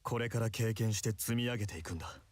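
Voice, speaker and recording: A man voice acting a gruff, reserved, quietly tender man in a visual novel, with a husky voice. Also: fairly low pitch, racing, no echo, natural voice. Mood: quiet, earnest. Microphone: close, dry studio mic.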